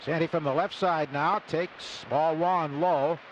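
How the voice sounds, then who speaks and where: A man calling a baseball game play by play on live radio in clipped, deliberate phrases. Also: on the high side, steady tone. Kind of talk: live radio sports commentary with sponsor reads. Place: stadium broadcast booth. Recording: noisy live ballpark radio broadcast.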